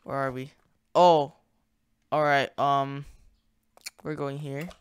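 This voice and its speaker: A man's voice: mid-range voice, flat delivery, slow pace, smooth. A young man hosting a gaming channel, casually narrating his play in a chatty, slangy way, thinking aloud as he goes. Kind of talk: casual gameplay commentary.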